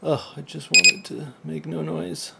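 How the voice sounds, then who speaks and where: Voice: mid-range voice, fairly even intonation, a little slow, gravelly. A host giving casual, off-the-cuff repair commentary, rambling and muttering while thinking aloud on a noisy recording. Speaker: video host repairing electronics.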